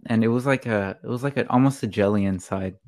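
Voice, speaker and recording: Easygoing co-host, mid-pitched, hesitant and relaxed delivery. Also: quick pace. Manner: relaxed, hesitant, laughs easily. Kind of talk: casual chat.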